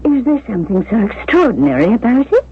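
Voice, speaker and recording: An actress playing a genteel, chatty, curious widow in a 1970s radio drama. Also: female voice, a little high, very animated, quick pace, a little hiss or hum. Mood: curious, puzzled. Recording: muffled, thin vintage radio recording.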